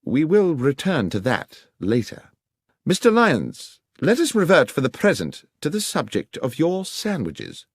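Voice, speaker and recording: A man voicing a composed, brilliant Victorian detective in an audiobook, precise, deliberate and assertive as he questions. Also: fairly high pitch, wildly swooping pitch, breathy edge, no echo, voice pushed up, full character voice. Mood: probing, assured.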